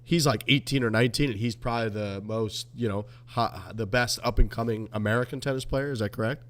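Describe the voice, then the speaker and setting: A man's voice: medium pitch, brisk. Casual swearing male podcast co-host. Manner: loose, swears freely, banters. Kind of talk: casual chat.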